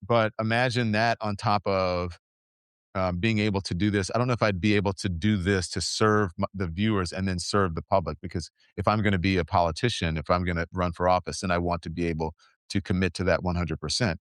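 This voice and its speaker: Earnest low-voiced man talking. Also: steady tone, a little fast, dry recording, clean studio sound. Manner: reflective, earnest, with frequent restarts and fillers. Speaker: podcast host. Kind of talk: casual conversation.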